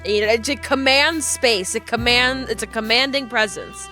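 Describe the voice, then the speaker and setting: A woman's voice: a little high, moderate pace, sharp tone, soft background music. A woman streamer with a cold, sounding congested, chatting casually and rambling before playing a game. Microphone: desk microphone.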